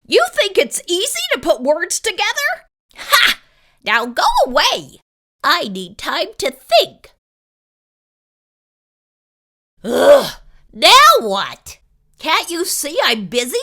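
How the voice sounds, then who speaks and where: Woman voicing a grumpy, high-pitched storybook pig writer. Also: very animated, slow pace, bright, forceful tone, full character voice. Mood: indignant. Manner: indignant, scolding, emphatic. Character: grumpy, bossy male pig writer in a picture book. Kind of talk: animated children's read-aloud character voice.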